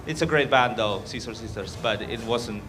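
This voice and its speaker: A man's voice: lowish voice, moderate pace, over music. A man with an Argentinian accent doing a crude, rambling, self-mocking stand-up set in a club. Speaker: stand-up comedian. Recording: live club with loud crowd.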